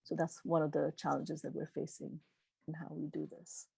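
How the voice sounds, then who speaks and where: A woman's voice: low voice, little pitch movement, normal speed, gravelly, dark tone, breathy edge, no echo, low-quality recording. A middle-aged woman whose first language is Gujarati, answering questions informally as a keynote speaker in an encouraging, earnest way. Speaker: keynote speaker, literary publisher.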